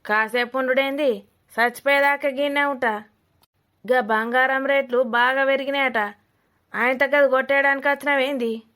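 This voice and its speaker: A woman's voice: sits high, fairly even intonation, normal speed, a bit bright. A woman voicing a suspicious, scolding old village woman in a cartoon comedy, with a Telangana accent, blunt and mocking. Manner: blunt, mocking, rambling accusations.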